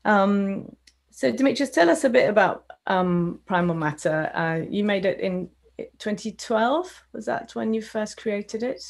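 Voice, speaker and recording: A woman in her fifties from the UK, a festival director hosting an interview over a video call from home, welcoming and pausing often with ums. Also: medium pitch, expressive, vocal fry. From United Kingdom. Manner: warm welcoming, with frequent ums. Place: home room with a sofa. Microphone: wired earphones on a video call.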